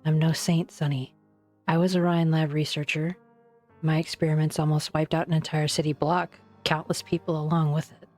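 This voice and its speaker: A woman playing a weary, guilt-ridden researcher in an English fan dub, speaking in a grave, measured, confessional manner. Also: low voice, little pitch movement, a little mellow, faint music behind, close to an everyday voice.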